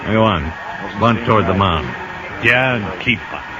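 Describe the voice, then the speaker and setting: An actor playing a practical, reluctant baseball team boss giving hesitant instructions in a 1950s radio drama. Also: male voice, fairly low pitch, lively intonation, normal speed, natural voice. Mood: reluctant, instructing. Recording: noisy, muffled old radio recording.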